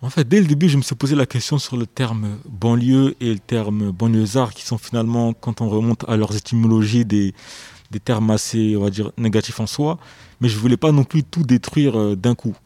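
Hesitant, reflective man with a mellow voice. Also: medium pitch, moderate pace, no room sound. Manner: hesitant, repeats words, searching for phrasing. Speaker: author guest. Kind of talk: radio interview, reflective.

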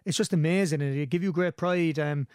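High-pitched, slightly hoarse Limerick man. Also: brisk, darkish tone, dry recording, studio quality. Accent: Limerick Irish. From Limerick, Ireland. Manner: rambling, enthusiastic. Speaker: sports podcast pundit and commentator. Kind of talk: casual sports discussion.

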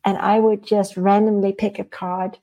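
A woman from the Netherlands, telling personal stories in a casual, animated, halting way as an interview guest. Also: medium pitch. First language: Dutch. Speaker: interview guest, spiritual channeler. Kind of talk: casual conversational storytelling.

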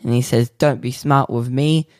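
A man co-hosting a podcast, rambling through absurd improvised stories in a deadpan way with lots of fillers. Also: mid-range voice, no echo, clean studio sound. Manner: slow, rambling, deadpan nonsense with many fillers. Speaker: podcast co-host.

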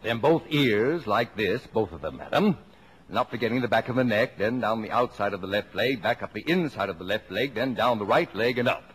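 Pompous, deep-voiced 1950s radio father. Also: lively intonation, brisk, breathy edge. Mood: pompous, explaining. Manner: pompous, emphatic, formal phrasing. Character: brisk, proud, bossy father of a huge family. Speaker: radio actor. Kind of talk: 1950s radio play acting. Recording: noisy vintage 1950s radio recording.

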